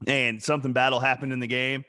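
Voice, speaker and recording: A man's voice: mid-range voice, little pitch movement, quick pace, pushed and bright. A man co-hosting a casual sports podcast, rowdy and laughing with crude jokes. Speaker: podcast co-host.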